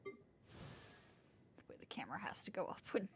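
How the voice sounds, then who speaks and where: A woman's voice: brisk, whispered. A woman streaming a game, giving casual, musing live commentary in an informal way. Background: game music.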